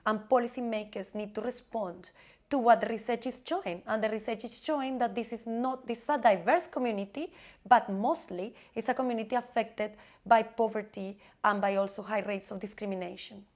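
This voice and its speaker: Measured woman speaking about policy. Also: a little high, darkish tone. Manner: measured, formal policy talk. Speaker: researcher or advocate. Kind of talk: documentary interview.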